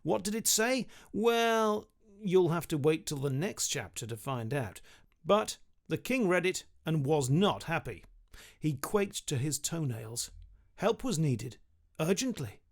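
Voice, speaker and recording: A man's voice: a little high, sing-song, measured pace. A British man, around his thirties, reading a children's story expressively into a close studio microphone. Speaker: author reading his book. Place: small home studio room, indoors. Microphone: studio condenser with pop filter, close.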